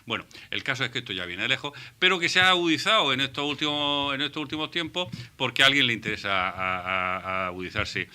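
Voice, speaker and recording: A man from Murcia, a panelist on a radio debate show, who talks in a halting way and often restarts his words. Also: mid-range voice, sharp tone, clear, resonant tone. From Murcia, Spain. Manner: halting, repeats and restarts words while reasoning.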